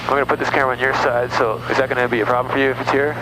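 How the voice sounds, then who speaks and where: A man with a medium-pitched voice talks extremely quickly and casually. He is on a headset in a small aircraft cockpit, with very loud engine drone. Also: little pitch movement, background noise. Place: small plane cockpit in flight. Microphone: aviation headset.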